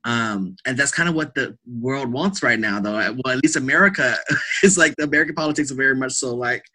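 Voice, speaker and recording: Bright-voiced man talking through ideas in conversation. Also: medium pitch, fairly quick. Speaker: podcast guest, theatre artist and academic. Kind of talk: casual interview conversation.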